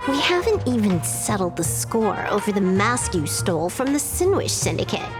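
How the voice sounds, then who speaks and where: An actress playing a feisty, confrontational young fighter in a video game. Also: female voice, medium pitch, sing-song, moderate pace, natural voice. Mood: indignant. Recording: music behind the voice.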